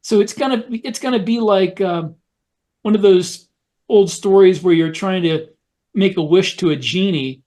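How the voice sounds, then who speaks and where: A man co-hosting a podcast in casual panel discussion, speaking haltingly and repeating words as he searches for phrasing. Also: high voice, darkish tone. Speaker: podcast co-host.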